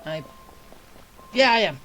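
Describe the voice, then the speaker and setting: Slow, halting woman gamer. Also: medium pitch, expressive, pushed and bright, faint music behind, low-quality recording. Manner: halting, thinking aloud. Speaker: game streamer. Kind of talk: casual gameplay commentary.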